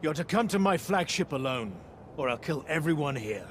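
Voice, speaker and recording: A man's voice: a little high, animated, moderate pace, slight background noise, lightly acted. A man playing a menacing, imperious warlord in a video game, speaking in a formal, commanding way. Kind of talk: dramatic video game acting.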